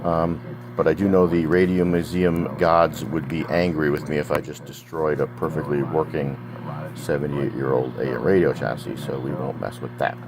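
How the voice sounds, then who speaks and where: A man's voice: extremely low pitch, flat delivery, moderate pace. An American man giving casual, unscripted narration of a hobby project, rambling conversationally with asides. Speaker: hobbyist presenting a DIY project. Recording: background chatter and hum from playing radio. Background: old AM radio playing with a loud hum.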